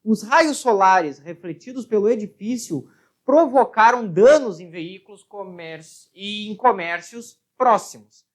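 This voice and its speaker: A woman with a Brazilian accent working through a physics problem as a teacher, explaining and often checking understanding with short tag questions. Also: mid-range voice. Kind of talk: spoken worked-problem lesson.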